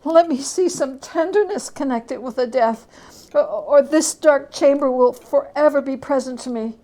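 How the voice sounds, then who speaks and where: A woman's voice: sits high, normal speed, dark tone, voice pushed up, lightly acted. Woman playing a stammering, frightened old miser. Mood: pleading. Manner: stammering, beseeching, emphatic. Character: frightened, pleading old miser, a man humbled by fear.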